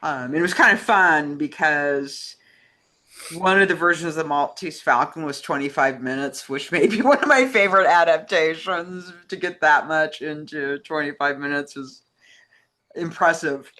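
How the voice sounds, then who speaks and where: A woman co-hosting a casual film discussion, speaking informally and haltingly, correcting herself as she thinks. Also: low-pitched, pushed and bright. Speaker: co-host and film writer.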